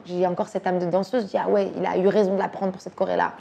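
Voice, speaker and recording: A woman from Belgium in her thirties, talking casually and quickly about music and dance, using slang and repeating words. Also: fairly low pitch, flat delivery, gravelly, background noise. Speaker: actress and director talking about her influences. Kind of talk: casual chat.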